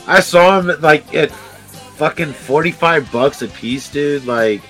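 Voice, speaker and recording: A man's voice: on the high side, unhurried, pushed and bright, soft background music. A man co-hosting a casual podcast, joking around and swearing in a laid-back way. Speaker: podcast co-host.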